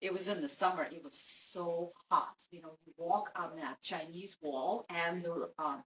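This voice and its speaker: A woman's voice: fairly low pitch, measured pace, a bit bright. A woman from Russia with a Russian accent, chatting casually and giggling often on a date. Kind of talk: casual conversation. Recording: echoey room, distant mic, light noise.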